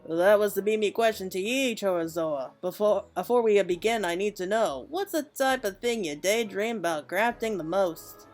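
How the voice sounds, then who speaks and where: A woman's voice: mid-range voice, moderate pace, hardly any pauses, darkish tone, close to an everyday voice. A woman reads as a veteran master craftsman from a fantasy game. He is plain-spoken and folksy, peppering his talk with old dialect words, over faint music. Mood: plain-spoken, encouraging.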